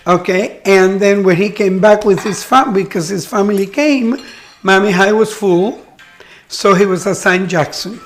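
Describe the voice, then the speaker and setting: An older woman from Cuba talks in a chatty, reminiscing way, often restarting her sentences. Her voice sits at a middle pitch for a woman. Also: unhurried, faint music behind. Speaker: guest, retired teacher. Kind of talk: casual chat.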